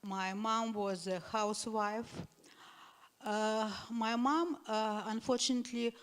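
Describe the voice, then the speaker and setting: An older woman from Moscow speaks to a congregation about her family's past, friendly and a little hesitant, with frequent fillers. Her voice sits at a middling pitch and carries the long, ringing reverb of a large hall, picked up on a handheld mic. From Moscow, Russia. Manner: warm-hearted, laughing lightly, hesitant with many fillers. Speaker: temple member on a panel. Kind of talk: personal storytelling to a congregation.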